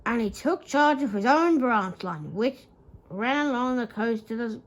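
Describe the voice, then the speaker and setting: A woman reading a children's bedtime story aloud, haltingly, stumbling and repeating words as she goes. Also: a little high, expressive.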